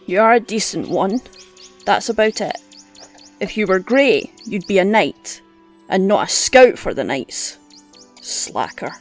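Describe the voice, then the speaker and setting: An actress playing a gruff, scolding old woman who teaches hunting with blunt scorn in an audio drama. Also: mid-range voice, very animated, unhurried, long pauses. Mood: blunt, scornful. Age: older. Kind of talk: audio roleplay drama acting. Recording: music under the voice.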